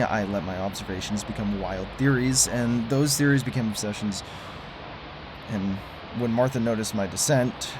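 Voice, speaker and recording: A man's voice: mid-range voice, noisy background, lightly acted. A man playing a weary ex-conspiracy theorist. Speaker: lead character.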